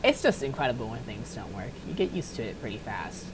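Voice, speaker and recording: A woman giving a casual, unedited software tutorial in a rambling, self-deprecating, apologetic way. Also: a bass voice, very animated, a little fast, heavy background noise. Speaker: tutorial maker.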